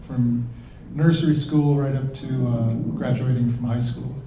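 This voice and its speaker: Older New England man, halting guest on a call, warm tone, roomy and distant. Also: medium pitch, fairly even intonation. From New England, United States. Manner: halting, restarts often. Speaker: interview guest. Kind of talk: casual remote interview. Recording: roomy, distant, faint hiss.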